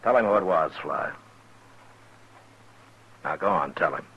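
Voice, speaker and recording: Very deep, gritty-voiced frontier lawman. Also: a little slow, creaky, breathy edge, full character voice. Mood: pressing. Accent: American. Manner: terse, commanding, plain-spoken. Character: shrewd, authoritative frontier lawman, grown man. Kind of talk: 1950s radio western acting. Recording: muffled 1950s radio recording.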